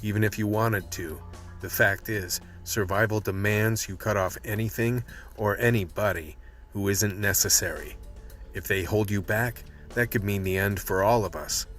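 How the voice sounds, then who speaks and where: A man's voice: on the low side, moderate pace, a bit bright, soft background music, natural voice. A man playing a world-weary, tense vice cop who narrates a radio play in a hard-boiled manner. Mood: grim resolve.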